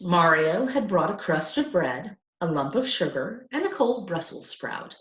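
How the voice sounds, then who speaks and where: A woman reading a children's novel aloud as a storyteller, with an animated, deliberate delivery. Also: fairly low pitch. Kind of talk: expressive scripted audiobook narration for children. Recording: roomy, dull lo-fi home recording.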